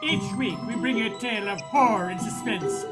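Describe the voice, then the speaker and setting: A woman's voice: fairly high pitch, brisk, loud music behind the voice, natural voice. A woman playing an ominous, teasing hostess who theatrically introduces an old-time radio horror show.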